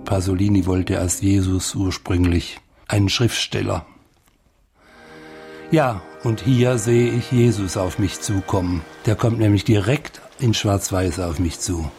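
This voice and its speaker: A man's voice: deep voice, fairly even intonation, measured pace, darkish tone. A man giving reflective, personal commentary as he watches a film. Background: music.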